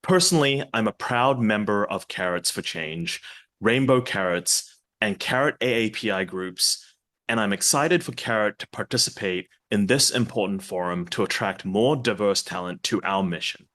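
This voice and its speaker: A man who is a company executive, delivering a scripted, prerecorded video message in a measured way. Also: lowish voice, fairly bright tone. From New Zealand.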